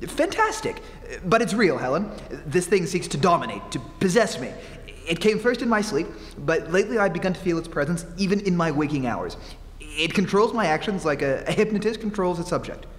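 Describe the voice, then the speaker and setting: A man's voice: high voice, animated. Guy doing a haunted unraveling pianist, old radio horror style, melodramatic and all over the place, big echoey hall. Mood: haunted, confessional. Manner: overwrought, halting, melodramatic. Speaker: amateur stage actor. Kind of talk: old-time radio horror play re-enactment. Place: live stage performance in a reverberant hall. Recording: noisy live theatre hall, long reverb.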